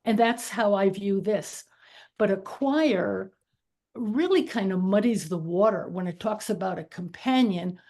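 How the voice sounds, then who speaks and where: A woman's voice: medium pitch, a little slow, mellow. A woman in an adult study class, sharing a careful, thoughtful comment. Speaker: class participant.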